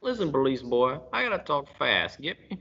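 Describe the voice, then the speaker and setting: A man's voice: very animated, moderate pace, sharp tone, husky, heavily acted. A man playing a scared, harsh foreign informant who talks in urgent, impatient gangster slang in a radio play crime parody. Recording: dull lo-fi recording with rumbling noise.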